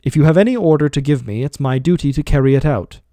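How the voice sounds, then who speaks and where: A man voicing a calm, self-assured servant who speaks with a slow, measured lisp. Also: a little high, no echo, natural voice. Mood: composed. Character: calm, smug male servant with a deliberate lisp.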